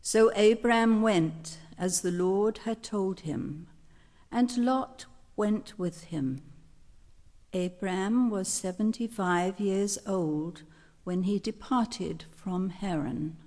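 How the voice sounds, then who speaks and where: A woman reading scripture aloud during a church service in a measured, formal way. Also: mid-range voice, darkish tone. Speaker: scripture reader. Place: church sanctuary. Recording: church hall reverb.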